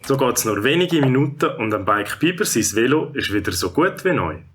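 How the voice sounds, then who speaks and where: A man's voice: mid-range voice, sing-song, fairly quick, fairly bright tone, slight background noise. A man with a Swiss German accent narrating a scripted children's story.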